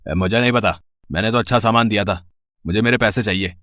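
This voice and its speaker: Man playing a low-voiced, curt shopkeeper. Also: quick pace, fairly bright tone, no room sound, studio quality, close to an everyday voice. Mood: irritated. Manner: brusque, dismissive. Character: curt, demanding appliance seller.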